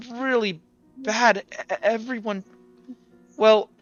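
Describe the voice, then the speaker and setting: A man voicing an anxious, hesitant teenage boy in a tabletop game, stammering and halting as he talks. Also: sits high, pushed and bright, highs cut off, voice pushed up, heavily acted. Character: anxious, hesitant teenage boy named K one, recalling bad news.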